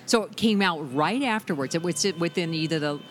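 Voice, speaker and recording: A middle-aged woman with a deep voice, a musician guest chatting loosely in an interview, full of restarts and "you know". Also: brisk, a little hiss or hum. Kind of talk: casual interview chat.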